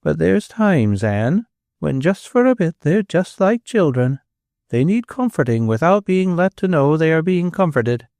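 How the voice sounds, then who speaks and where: Practical, wise mother, read by a man, calm and instructive. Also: on the high side, smooth, no room sound, studio quality, pitched above the performer's own voice.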